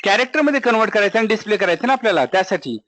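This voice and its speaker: High-pitched Indian man teaching programming. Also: animated, rapid-fire, pushed and bright, no room sound. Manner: explanatory, checks back with questions, repeats himself. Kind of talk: live classroom lesson with screen demonstration.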